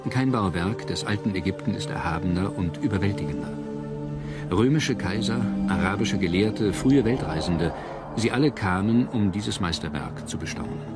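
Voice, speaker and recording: A man reading scripted documentary narration in a measured, solemn manner. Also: low-pitched, animated, loud music behind the voice.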